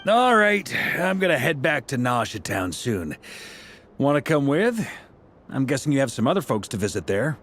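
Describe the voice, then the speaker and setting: A breezy, lively-voiced knight commander. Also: male voice, medium pitch, normal speed, slight background noise. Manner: breezy, colloquial. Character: easygoing, confident male grand master knight, jovial leader. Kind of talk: video game dialogue. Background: music.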